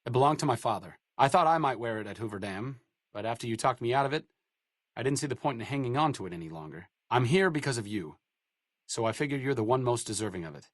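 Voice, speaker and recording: A man's voice: mid-range voice, expressive, fast talker, no room sound, natural voice. An actor playing a dry, sardonic male scientist companion in a video game. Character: wry, educated male scientist companion in a wasteland. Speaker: companion character.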